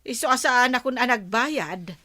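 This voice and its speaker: Actress as bossy Ilocano wife, high bright voice. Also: female voice, animated, moderate pace, no echo. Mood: evasive, proud. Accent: Filipino, Ilocano. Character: vain, bossy village wife and vendor, scolding and proud. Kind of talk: audio drama acting.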